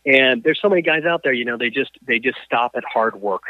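A man, a coach and athlete, telling his story as an interview guest, halting with frequent restarts and fillers. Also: mid-range voice. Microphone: phone or call line. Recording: tinny phone line.

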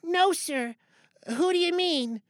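A woman's voice: very high voice, takes it slowly, no echo, studio quality. A woman playing a nervous young boy who gives short, meek replies. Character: timid young boy innkeeper's son.

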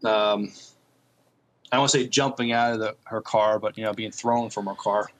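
A man co-hosting a podcast in casual chat, speaking haltingly and often repeating words as he thinks. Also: on the low side, fairly even intonation, poor audio. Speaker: podcast co-host. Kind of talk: casual review chat about TV shows.

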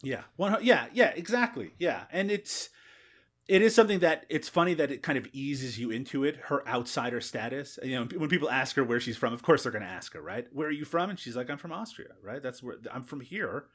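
A man's voice: mid-range voice, animated, fairly quick, fairly bright tone. Chatty man reviewing a film. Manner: chatty, laughs often, frequent restarts. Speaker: film podcast co-host. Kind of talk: casual film discussion.

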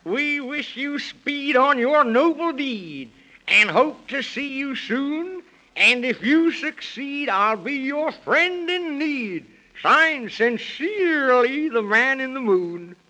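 An actor playing a fretful, kindly, excitable moon man who exclaims and pleads in rhyme, in a 1930s children's radio serial. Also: extremely high pitch, slow, full character voice, low-quality recording. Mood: hopeful.

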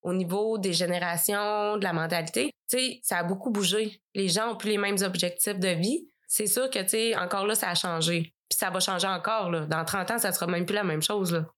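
A woman with a Québécois French accent talks easily as a podcast guest. She rambles through her points with lots of fillers and laughs often, speaking at a mid pitch. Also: a little fast, hardly any pauses, a bit bright. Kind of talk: casual conversation.

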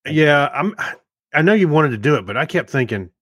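A man's voice: a little high, sing-song, brisk, fairly bright tone, no echo, studio quality. A man co-hosting a tech podcast, chatting in an easygoing way and chuckling between lines. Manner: easygoing, chuckling, reads listener mail. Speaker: podcast co-host. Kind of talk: casual chat.

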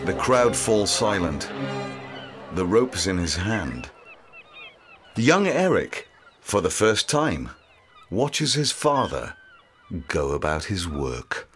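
A man's voice: low voice, very animated, takes it slowly, pauses often. A man narrating a scripted dramatic story. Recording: loud music under the voice.

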